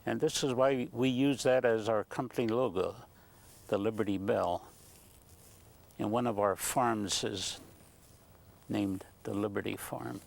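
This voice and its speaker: A man's voice: fairly low pitch, steady tone, a little slow, vocal fry, long pauses. A man who runs a business, talking informally in a documentary interview.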